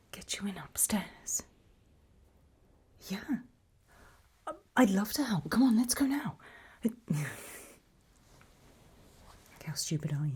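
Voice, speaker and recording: A sly British demoness, acted by a woman, speaks in a very breathy, husky murmur that often turns to whisper. Her voice is low and soft-edged. She is unhurried, teasing and condescending, in the style of an ASMR roleplay. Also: full character voice. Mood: mocking. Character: sly, mocking female demon who trades in purchased souls.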